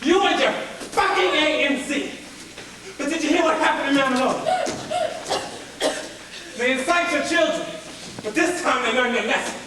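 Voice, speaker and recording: Male actor as taunting police interrogator, aggressive, high voice, distant, reverberant, noisy recording. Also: animated, brisk, long pauses. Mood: angry gloating. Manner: aggressive, mocking, swearing. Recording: very echoey noisy hall, far off.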